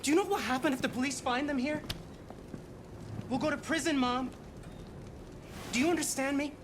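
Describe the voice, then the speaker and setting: High-pitched, agitated young son. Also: male voice, fairly even intonation, normal speed, noisy background. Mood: angry, frightened. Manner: agitated, forceful. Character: hot-headed, fearful young son. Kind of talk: cinematic video game acting. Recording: dramatic score under the voice.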